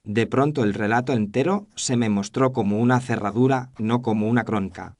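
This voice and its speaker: A man's voice: mid-range voice, a little fast, a little mellow, close to an everyday voice. A man narrating as a thoughtful doctor recounting a case in an audio drama, in a reflective, literary manner. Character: thoughtful male doctor narrating his memoir. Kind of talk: scripted narration.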